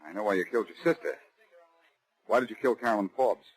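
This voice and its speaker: An actor playing a cool, wisecracking tough amateur sleuth in a 1940s radio crime drama, with clipped, taunting delivery. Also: male voice, lowish voice, fast, husky, rough recording. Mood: dry, grim. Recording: restored 1940s radio broadcast.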